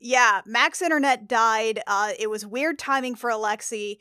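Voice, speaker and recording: Higher-pitched woman chatting casually. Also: normal speed, bright, forceful tone, clean tone, no room sound, clean studio sound. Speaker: podcast cast member. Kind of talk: casual chat.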